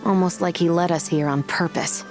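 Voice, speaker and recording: A stern, composed female investigator in a game, played by an actress. Her voice is low and measured, firm and factual, with faint music behind. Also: fairly even intonation, lightly acted. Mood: focused, analytical. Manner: measured, firm, matter-of-fact. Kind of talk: video game voice acting.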